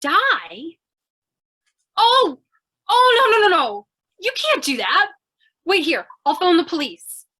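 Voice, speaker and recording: Very high-pitched, ditzy lady reporter. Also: big pitch swings, slow pace, pauses often, pushed and bright, heavily acted. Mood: alarmed, flustered. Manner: ditzy, earnest, theatrical. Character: scatterbrained, chatty, eager young lady reporter. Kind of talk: old-time radio crime comedy acting.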